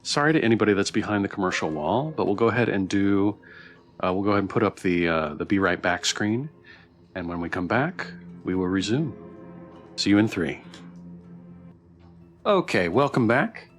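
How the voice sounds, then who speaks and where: A man's voice: lowish voice, expressive, moderate pace, long pauses, soft background music. A man giving casual livestream commentary as he plays a game, sometimes reading choices aloud. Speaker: streamer playing a game.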